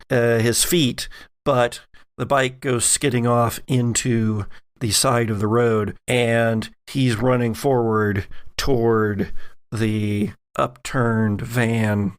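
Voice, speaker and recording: Slow, dramatic man narrating, dry sound. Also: medium pitch, clear, resonant tone, no echo. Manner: deliberate, dramatic storytelling in short phrases. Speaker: game master and podcast host. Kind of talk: narrating a tabletop role-playing game.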